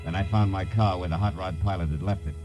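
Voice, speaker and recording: An actor playing a wry, persistent private eye in 1950s radio noir, clipped and slangy, on an old recording. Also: male voice, low-pitched, fast talker, over music, close to an everyday voice. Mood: wry narrating. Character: hard-boiled male private eye, wry, persistent, fast-talking. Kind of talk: 1950s radio noir acting, first-person narration and dialogue.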